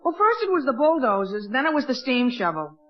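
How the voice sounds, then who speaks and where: A man's voice: very high voice, big pitch swings, fairly quick, full character voice. An actor playing a hapless, literal-minded teenage boy in an old radio comedy, earnest and plaintive. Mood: sheepish. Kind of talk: 1940s radio comedy acting. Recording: dull, band-limited old radio recording.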